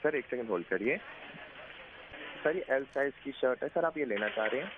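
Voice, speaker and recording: A man's voice: mid-range voice, animated, brisk. An Indian man working as a customer service agent, speaking politely, patiently and deferentially on a call. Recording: thin phone line, clear static and clicks.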